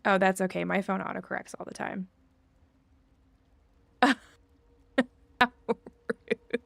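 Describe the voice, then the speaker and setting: Female game streamer, casual and chatty, noticeable vocal fry, dry close mic. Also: mid-range voice, steady tone, slow, no echo. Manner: chatty, thinking aloud. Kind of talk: casual livestream chat while playing.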